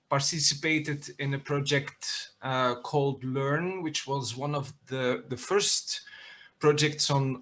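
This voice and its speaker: A man from Belgium introduces himself on an online panel over a video call. He speaks in measured phrases, pausing with fillers between thoughts, with a mid-range voice. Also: medium pitch, a bit bright. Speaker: panelist, chief librarian.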